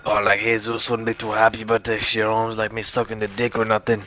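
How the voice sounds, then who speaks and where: A man's voice: fairly low pitch, monotone, a little fast, bright, forceful tone, a little hiss or hum. A young man gaming who puts on the strained voice of a swaggering, tough-talking street gangster, tagging his lines with slang. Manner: strained put-on voice, repeated street-slang tags. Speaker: gamer. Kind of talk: gameplay commentary in a put-on character voice.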